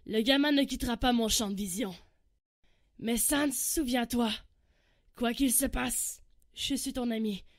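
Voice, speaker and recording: A woman plays a fierce, competitive warrior monster in a comic dub. She speaks slowly and brashly in a fairly high voice, recorded very dry. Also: no room sound. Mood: earnest, softened.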